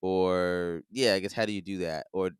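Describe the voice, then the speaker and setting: A man's voice: low-pitched, fairly even intonation, moderate pace, smooth, no echo, clean studio sound. A man hosting a podcast, chatting casually and rambling through his questions. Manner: rambling, full of "like" and restarts. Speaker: podcast host.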